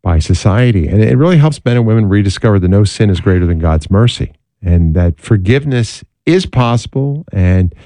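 Earnest low-voiced male talk show host. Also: lively intonation, fairly quick, dark tone, no echo. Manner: earnest, preacher-like, conversational with fillers. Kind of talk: talk show monologue and interview. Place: studio.

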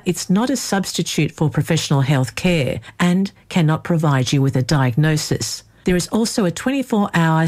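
A woman's voice: lowish voice, a little mellow. A woman reading a scripted public service announcement as a radio announcer.

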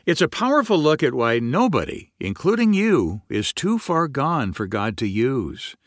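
Lively male announcer, dry studio sound. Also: mid-range voice, unhurried, no echo. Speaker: radio programme announcer. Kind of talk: scripted announcement.

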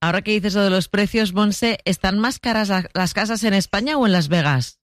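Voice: mid-range voice, brisk, no echo, clean studio sound. Curious interviewer. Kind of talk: radio interview.

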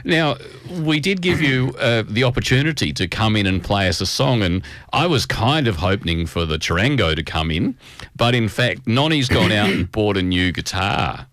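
A man's voice: lowish voice, expressive, normal speed, fairly bright tone, dry recording. A man hosting a radio show in casual, rambling, conversational talk from a studio. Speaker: radio host. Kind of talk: casual on-air chat. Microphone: close studio microphone.

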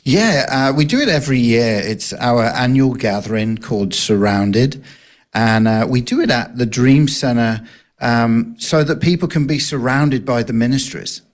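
A man's voice: mid-range voice, animated, unhurried. A man with a noticeable non-local accent, speaking as a ministry director guest in an earnest, casual radio interview. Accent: accent not native to the area. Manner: earnest, with frequent fillers and restarts.

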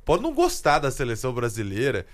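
Emphatic male host with lively pitch. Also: quick pace. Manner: emphatic, opinionated. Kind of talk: casual chat.

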